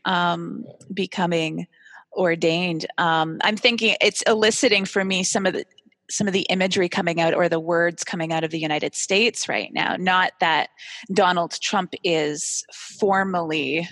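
A woman hosting a podcast, talking in a reflective, conversational way with measured, tentative phrasing, recorded in a reverberant room. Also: fairly low pitch, steady tone, a bit bright. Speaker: podcast host.

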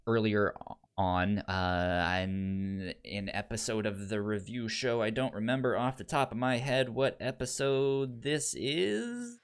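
A man's voice: on the low side, takes it slowly, smooth. A man co-hosting a podcast in casual review chat, enthusiastic, often stammering and repeating syllables as he goes. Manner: enthusiastic about the material. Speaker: podcast co-host reviewing fiction.

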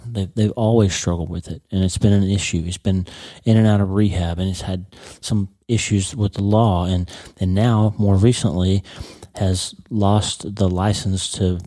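A man hosting a podcast in a casual motivational talk, his voice congested and a little croaky, often repeating words as he goes. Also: low-pitched, steady tone, moderate pace, mellow, no room sound. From United States. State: congested, stuffy. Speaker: podcast host.

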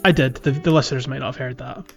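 A man's voice: medium pitch, steady tone, quick pace, gravelly, over music. A man hosting a casual podcast chat about a video game, talking in a chatty, informal way. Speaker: podcast host.

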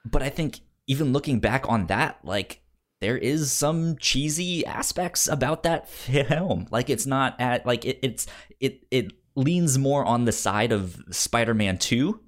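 A man co-hosting a casual film debate, stuttering on syllables and words as he talks in an animated way. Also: medium pitch, unhurried. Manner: animated, acts out mock quotes. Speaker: podcast co-host debating films.

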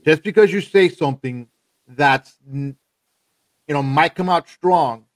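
Emphatic host, checking in with "okay?". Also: male voice, fairly high pitch, measured pace, dry recording. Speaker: show host. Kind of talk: casual talk show hosting.

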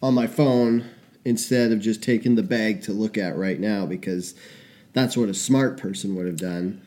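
A young man co-hosting a podcast in casual chat, animated and quick to laugh. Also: fairly low pitch. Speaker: podcast co-host.

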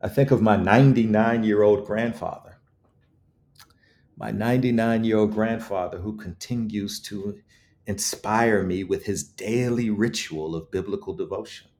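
A man's voice: fairly low pitch, moderate pace, a little mellow. A man speaking as an interview guest, reflective and eloquent, with emphatic, preacherly storytelling and rhetorical repetition. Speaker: guest author.

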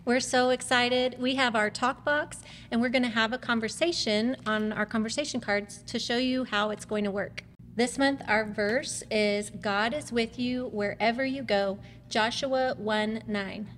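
A woman's voice: fairly high pitch, fairly even intonation, unhurried, fairly bright tone. A woman speaking as a mother and guest presenter, explaining things in simple family talk. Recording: reverberant room with background noise.

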